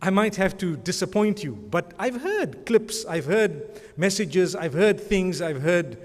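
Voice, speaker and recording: A man's voice: high voice, animated, unhurried. A man presenting an award, speaking in a rambling, conversational way and often adding religious blessings. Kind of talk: speech at an awards ceremony. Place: large conference hall. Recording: long hall reverb.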